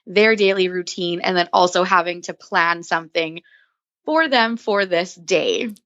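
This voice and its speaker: A young woman teacher in her twenties, a podcast guest telling her story in an excited, giggly way. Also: on the low side, measured pace, dry recording, muffled recording. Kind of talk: casual interview chat.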